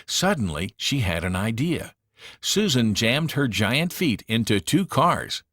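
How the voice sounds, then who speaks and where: A man narrating a children's read-along storybook in an expressive, dramatic manner. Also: medium pitch, unhurried, dry recording, studio quality.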